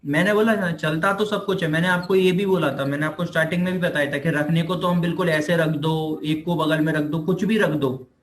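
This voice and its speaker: A man's voice: a little high, fairly even intonation, a little fast, hardly any pauses. A man with an Indian accent giving an informal explanatory lecture as a physiotherapy teacher, repeating himself and checking that students follow. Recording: roomy echo on the voice.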